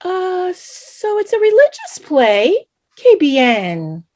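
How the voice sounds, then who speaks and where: A woman's voice: extremely high pitch, wildly swooping pitch, takes it slowly, a little mellow, exaggerated voice. A woman playing a doting, dramatic Salvadoran mother in her thirties in a staged reading, with an exclamatory, chiding manner. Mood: curious, chiding. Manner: exclamatory, chiding, mixes Spanish into English. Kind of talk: live staged play reading.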